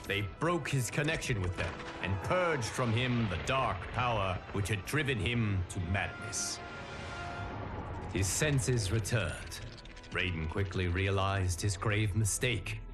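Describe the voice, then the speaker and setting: Man acting as grave male guardian, stern, slow measured delivery, formal dramatic game narration, loud music underneath. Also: fairly low pitch, lightly acted. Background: loud cinematic music.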